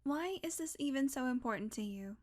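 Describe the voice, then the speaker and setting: A woman's voice: high-pitched, animated, a little mellow. A woman playing a sardonic, blunt vampire who is guardedly caring and coaxing, in an intimate audio roleplay. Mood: irritated, guarded. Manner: sarcastic, blunt, coaxing, sighing, deliberate pauses.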